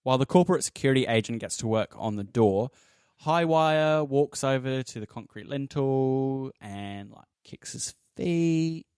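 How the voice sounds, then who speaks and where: A man's voice: medium pitch, animated, measured pace, darkish tone, smooth, dry recording, clean studio sound. A man narrating a tabletop game as game master in an informal, conversational way. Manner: informal, peppers descriptions with "like".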